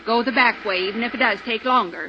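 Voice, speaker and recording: A folksy, gossipy older American drive-in owner from fifties radio drama. Her voice is high and bright, and she is nosy and emphatic. The vintage recording is dull. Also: quick pace. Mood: chatty, curious. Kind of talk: 1950s radio drama acting. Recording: tinny, faintly noisy old radio recording.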